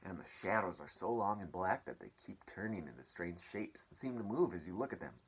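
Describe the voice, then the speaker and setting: A man reading a children's story aloud as an expressive storyteller. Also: sits low, brisk, slightly rough.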